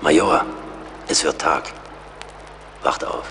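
A man acts a seasoned woodsman in an audio drama. His voice is deep and his manner plain-spoken, watchful and wry. It rings with long reverb over clear background noise. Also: slow pace, bright, forceful tone, heavily acted. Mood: hushed, alert. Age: middle-aged. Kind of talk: German audio drama acting. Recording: reverberant, noisy outdoor-like ambience.

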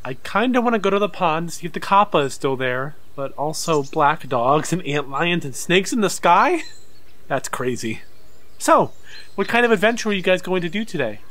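A man playing a cocky, excitable young alien fanatic in an audio drama, brash and argumentative. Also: a little high, moderate pace. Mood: eager.

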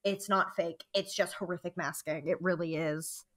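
A young adult woman guesting on a casual podcast, animated and joking, with a voice she calls low for a woman. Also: normal speed.

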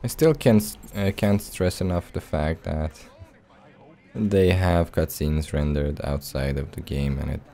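Male let's play host, casual commentary, low and mellow. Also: a little slow, creaky. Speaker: gaming video host. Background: game music.

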